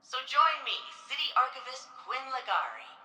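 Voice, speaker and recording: Futuristic city archivist lady, game museum tour narration, super bright crisp-edged voice, stately n reverent, echoey. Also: high voice, big pitch swings, normal speed, full character voice. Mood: bright, welcoming. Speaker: recorded museum guide. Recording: roomy echo with music behind.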